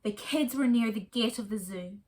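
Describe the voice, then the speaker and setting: A woman reading a children's story aloud in a soft, expressive way. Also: on the high side, normal speed.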